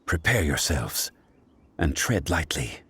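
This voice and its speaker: A man voicing a composed, commanding sage in a video game, speaking in a formal, measured and authoritative way. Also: very deep voice, sing-song, pushed and bright, husky, dry recording, heavily acted. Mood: calm authority. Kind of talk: video game character acting. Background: faint game music.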